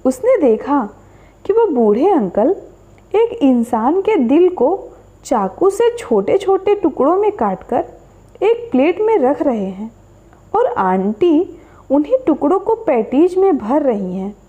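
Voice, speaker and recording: A woman narrating a scripted horror story as a storyteller, with an expressive delivery. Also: sits high, slow pace, muffled recording.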